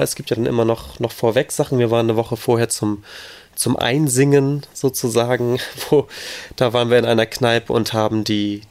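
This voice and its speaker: A man's voice: mid-range voice, a little mellow. A man with a cold chatting easygoingly on a podcast. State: has a cold. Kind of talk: casual chat.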